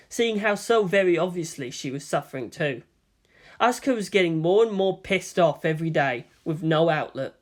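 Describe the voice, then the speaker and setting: A male narrator reads a story aloud in an expressive audiobook style. His pitch is very lively, rising and falling widely through the prose.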